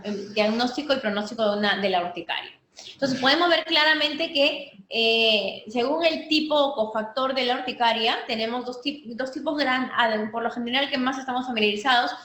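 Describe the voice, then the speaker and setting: A woman's voice: on the high side, sharp tone. A woman physician with a Peruvian Spanish accent giving a medical teaching talk, explaining step by step with frequent restarts and fillers. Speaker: physician lecturer. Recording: echoey room with faint noise.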